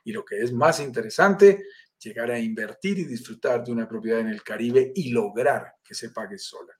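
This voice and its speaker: A man's voice: mid-range voice, normal speed. Colombian guy hosting a live stream, eager and welcoming, talks like he's selling you something, video call audio. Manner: enthusiastic, welcoming, persuasive. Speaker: live stream host, sales director.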